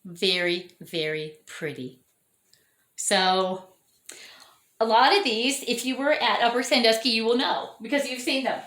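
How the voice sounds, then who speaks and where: A woman's voice: a little high, measured pace, fairly bright tone. A woman showing off her orchids in a casual vlog, chatty and enthusiastic and often correcting herself, with her voice starting to go. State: losing her voice. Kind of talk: casual show-and-tell vlog. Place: dining room at home. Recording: echoey indoor room.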